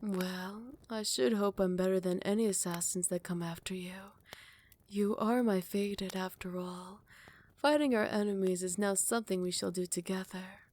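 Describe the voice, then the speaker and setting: A woman performs a noble vampire lady for a fantasy ASMR roleplay. Her mid-pitched voice is soft and often hushed, with proud, courtly, measured phrasing that betrays devotion to a rival. The sound is intimate and very dry, close on the microphone. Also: steady tone, no echo, natural voice. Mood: earnest, admiring.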